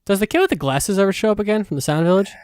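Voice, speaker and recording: A man's voice: high voice, rapid-fire, a little mellow, clear, resonant tone. A man with a dry, echo-free sound chats as a podcast host. He reacts to a story informally, using slang and casual phrasing.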